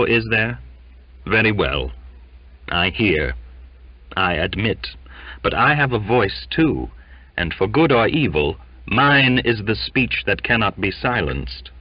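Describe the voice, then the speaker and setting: A man narrating a literary audiobook in a brooding, theatrical manner with deliberate phrasing. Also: medium pitch, dry recording. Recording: dull, lo-fi audio.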